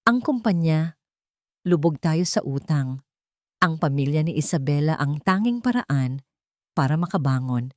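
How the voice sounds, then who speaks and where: A woman's voice: sits low, lively intonation, unhurried, long pauses, a little mellow, no room sound, studio quality. Woman reading as a cold, heartless husband. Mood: cold, merciless. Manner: cold, emotionless. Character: cold, heartless husband leaving his wife.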